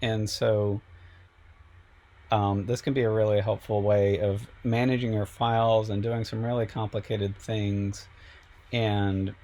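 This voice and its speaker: A man, a professor, explaining software step by step in a relaxed way with many fillers, slightly sick and losing his voice. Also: on the low side, measured pace. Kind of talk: screen-recorded software tutorial.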